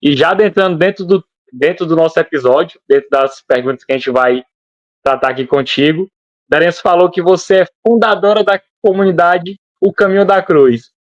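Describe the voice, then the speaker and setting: A man's voice: on the high side, normal speed, no room sound, muffled recording. A man co-hosting a podcast, asking long, winding questions full of repetitions. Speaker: podcast co-host. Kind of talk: conversational interviewing.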